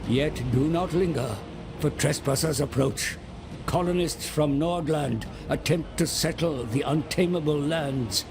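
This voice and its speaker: Slow, scheming dark advisor. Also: male voice, fairly high pitch, noisy background. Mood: solemn counsel. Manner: portentous, persuasive, formal. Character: scheming male guide and advisor to a demon prince. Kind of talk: dramatic game cinematic narration. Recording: music behind the voice.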